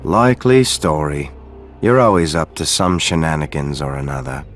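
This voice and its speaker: A man's voice: a bass voice, moderate pace, faint music behind. An actor playing a stern, curt adult male guard in a video game cutscene. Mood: dismissive.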